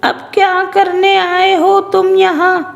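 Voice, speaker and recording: A protective older mother crow from a cartoon, voiced by a woman. Her voice is very high and slow, doting toward her child yet stern and indignant with others. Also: completely flat delivery, creaky, roomy sound, heavily acted.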